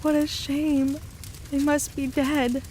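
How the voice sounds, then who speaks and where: A woman's voice: high-pitched, flat delivery, slow pace, darkish tone, a little hiss or hum. A woman acting an anxious young woman thinking aloud in an audio drama. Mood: bleak.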